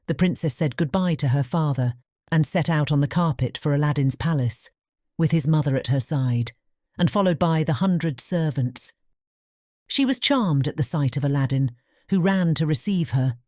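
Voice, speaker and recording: A woman narrating a scripted fairy tale as an audiobook, in a measured storytelling manner. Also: low voice, warm tone, dry recording, clean studio sound.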